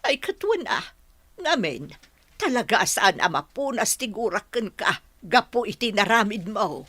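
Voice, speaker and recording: A woman playing a regretful, wounded daughter in a radio drama, with a husky voice. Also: medium pitch, sing-song, unhurried, a bit bright, no echo, exaggerated voice. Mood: sorrowful, reproachful. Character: regretful, wounded daughter confronting her father. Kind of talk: Ilocano radio drama acting.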